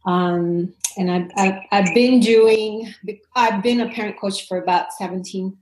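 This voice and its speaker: A woman's voice: medium pitch, unhurried, slight background noise. Guest voice: woman in her forties from Indonesia, chatty and laughing, recorded in a reverberant room. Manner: chatty, warm, laughs often, many restarts. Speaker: parent coach, guest. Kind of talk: casual interview answers.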